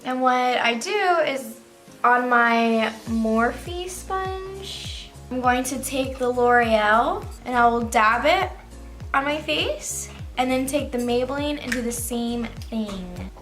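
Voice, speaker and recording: Young woman, high voice, sleepy just-woke-up tone, chatty makeup tutorial, soft music behind. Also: lively intonation, slow. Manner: chatty, loose, heavy use of "like". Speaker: beauty vlogger.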